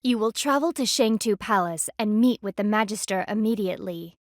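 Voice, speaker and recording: A woman's voice: medium pitch, a little fast, no echo, studio quality, close to an everyday voice. An actress voicing a stern, haughty female panda palace enforcer in a video game, formal, commanding and disdainful. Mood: curt, imperious.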